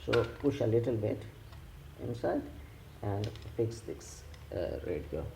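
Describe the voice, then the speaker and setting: Male tutorial host, non-native English speaker, unhurried and halting, gravelly low voice, recorded in an echoey, noisy room. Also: lively intonation, mellow. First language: not English. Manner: halting, simple step-by-step phrasing. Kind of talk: hands-on instructional demonstration. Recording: echoey room with clear background noise.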